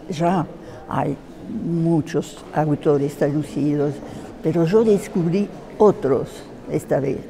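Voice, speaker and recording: A woman with a French accent answering an interview as a theatre translator, halting and searching for words. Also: low voice, gravelly, mellow. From France.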